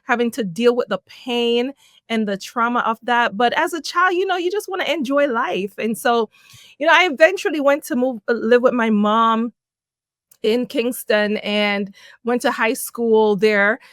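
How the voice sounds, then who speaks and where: A middle-aged woman from Jamaica, a podcast guest telling her life story in an animated way, laughing often. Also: on the high side, unhurried, no room sound, studio quality. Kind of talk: personal storytelling in an interview.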